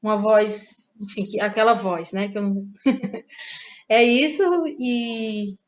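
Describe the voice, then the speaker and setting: A woman from Ceará, a playwright speaking as a guest in a relaxed interview, laughing often and trailing off in pauses. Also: medium pitch. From Ceará, Brazil. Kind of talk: casual interview chat.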